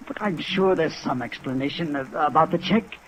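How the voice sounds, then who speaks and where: A man's voice: a little high, moderate pace, lightly acted, poor audio. A man playing a defensive beach-bum actor in his mid-thirties in a 1950s radio drama, hesitant and earnest. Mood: defensive, flustered. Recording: old radio recording, faint rainy hiss.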